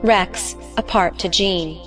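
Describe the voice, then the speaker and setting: A woman narrates stage directions for an audio play in terse, clipped phrases. Her pitch is medium, and faint music plays behind her. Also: takes it slowly, a bit bright.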